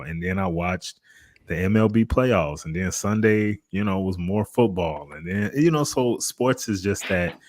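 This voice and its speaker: A man with a low voice co-hosts a sports podcast. He chats casually, using slang as if among buddies. The sound is dry, with very little room to it. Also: no echo. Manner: slangy, buddy-like talk.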